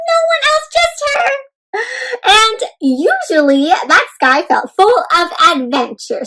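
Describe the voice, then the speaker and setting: A woman doing an interactive children's read-aloud, expressive and full of exclamations, often putting questions to young listeners. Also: extremely high pitch, low-quality recording. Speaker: host reading a picture book aloud to children.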